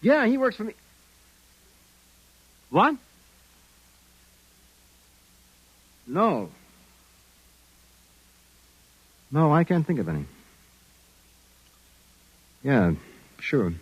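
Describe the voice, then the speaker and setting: A sardonic hard-boiled detective. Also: male voice, mid-range voice, slightly rough, highs cut off, exaggerated voice. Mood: businesslike. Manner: sardonic tough-guy talk. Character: wisecracking, hard-boiled male private detective. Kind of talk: 1950s radio drama acting. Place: radio studio.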